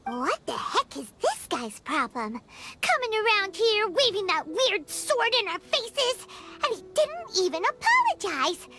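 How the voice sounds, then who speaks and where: A woman voicing a small, excitable female fairy companion in a game, with a very high, squeaky voice. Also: a little slow, exaggerated voice. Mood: indignant. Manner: excitable, indignant, chatty. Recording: game audio with loud music behind. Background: game music.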